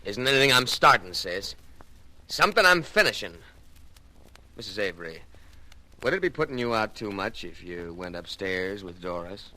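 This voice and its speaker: An actor playing a blunt, determined logger with a folksy manner in an old-time radio drama. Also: male voice, on the low side, big pitch swings, normal speed, long pauses, bright, forceful tone, full character voice. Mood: quietly threatening. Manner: blunt, folksy, menacing understatement. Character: tough, determined young logger, a protective brother. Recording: faintly noisy old radio recording.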